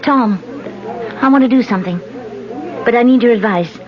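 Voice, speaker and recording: A woman's voice: fairly high pitch, moderate pace, a crowd in the background, lightly acted. A woman playing an earnest, torn politician. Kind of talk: 1970s radio drama acting. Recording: dull lo-fi 1970s radio recording.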